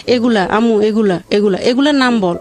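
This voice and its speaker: A middle-aged woman with a colloquial regional Bangladeshi accent tells her own life story in a halting, rambling, plaintive way. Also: fairly high pitch, darkish tone, faint music behind. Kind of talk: emotional personal storytelling.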